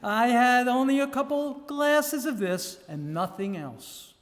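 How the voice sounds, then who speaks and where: A man reads a gothic journal aloud in a theatrical, measured way with dramatic pauses. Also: very high voice, lively intonation. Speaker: reader. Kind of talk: dramatic live reading of a gothic novel journal. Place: live public reading in a reverberant room. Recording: echoey hall with long reverb.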